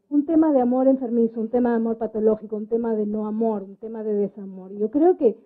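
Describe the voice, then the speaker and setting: Mujer de voz aguda, enfática. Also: female voice, sits high. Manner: emphatic, deliberate repetition. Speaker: debate panelist. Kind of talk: public debate argument. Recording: thin phone-like sound.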